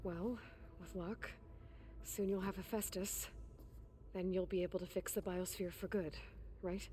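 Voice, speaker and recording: A woman's voice: fairly low pitch, steady tone, unhurried, dark tone, a little hiss or hum, close to an everyday voice. A woman playing a determined young explorer in a video game, plain-spoken and earnest. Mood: focused. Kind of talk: naturalistic video game voice acting. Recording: game audio with music behind. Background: game music.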